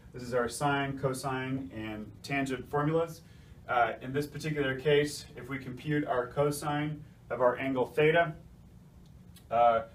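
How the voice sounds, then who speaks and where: A man's voice: medium pitch, a bit bright. A man giving an informal technical explanation as a presenter, hesitating often with uh and um between phrases. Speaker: technical presenter from a design services company. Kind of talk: informal explainer walking through a worked calculation. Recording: distant mic, faint room noise.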